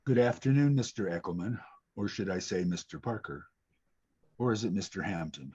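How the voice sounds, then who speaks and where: A low, husky male blackmailer played by a man at a table read, smug and evasive. He speaks in formal, deliberate phrases with quiet menace. The sound is dull and lo-fi. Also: animated. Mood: smug, taunting. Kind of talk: dramatic table read of a screenplay.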